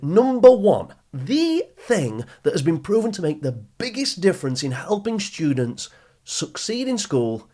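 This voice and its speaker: A man's voice: fairly high pitch, darkish tone. A man hosting a motivational podcast for teenagers, speaking in an upbeat, emphatic coaching manner and addressing the listener directly. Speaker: podcast host.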